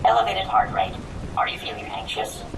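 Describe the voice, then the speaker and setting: A man's voice: on the low side, fairly even intonation, fairly quick, gravelly, roomy sound, far from the mic, loud music behind the voice, rough recording. A man playing a chirpy, solicitous automated healthcare kiosk that delivers salesy announcements in a game. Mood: upbeat, solicitous.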